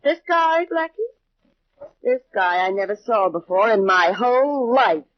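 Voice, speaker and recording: A haughty, indignant woman in a 1940s radio play. Her voice is high and very animated. The old recording is dull and noisy. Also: a little slow. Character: indignant, haughty former fiancée. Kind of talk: 1940s radio drama acting.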